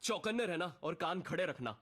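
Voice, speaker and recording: A man's voice: fairly high pitch, animated, normal speed. A man playing a brash, comic battle-game character, delivering cheeky, theatrical one-liners for a voice pack. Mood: bossy bravado. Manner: theatrical, cheeky shouting of short commands.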